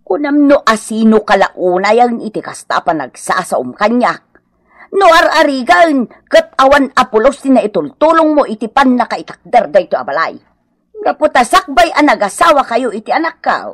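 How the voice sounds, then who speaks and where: A woman's voice: on the high side, sing-song, normal speed, fairly bright tone, no room sound. A woman acting an aggrieved elderly mother-in-law in a radio comedy drama, plaintive and reproachful, with an Ilocano accent. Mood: hurt, reproachful.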